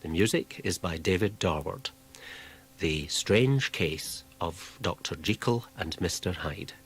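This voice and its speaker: A man giving a scripted introduction to a radio play in a measured, literary manner with dramatic emphasis. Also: low voice.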